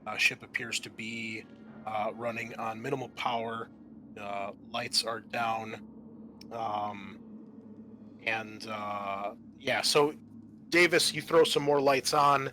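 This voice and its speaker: Bright-voiced man running a tabletop game. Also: lowish voice, fairly even intonation, takes it slowly, faint music behind. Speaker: game master. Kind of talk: narrating and explaining a tabletop game.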